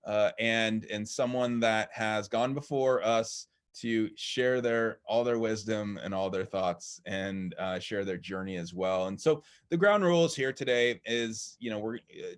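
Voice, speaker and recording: Low-voiced man hosting a live interview. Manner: rambling, many fillers and restarts. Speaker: host. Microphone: video call.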